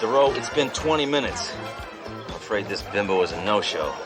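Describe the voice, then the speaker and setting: An actor playing a tough, wisecracking male police detective who talks in a gruff, sarcastic, deadpan way. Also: fairly low pitch, normal speed, music in the background, a crowd in the background, natural voice. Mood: impatient.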